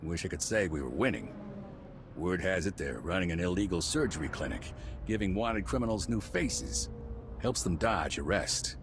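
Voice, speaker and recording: A man's voice: low-pitched, animated, normal speed, background noise. A man playing an earnest, determined city security officer in a video game. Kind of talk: scripted video game dialogue.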